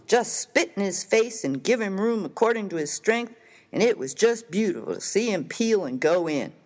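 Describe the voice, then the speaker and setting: A man with an American accent playing a rough, slangy, grieving miner of the Old West in a dramatized comic story, with a folksy, emphatic delivery. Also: sits high, lively intonation, moderate pace. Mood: mournful, admiring. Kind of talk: dramatized reading of a humorous story. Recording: reverberant hall-like room.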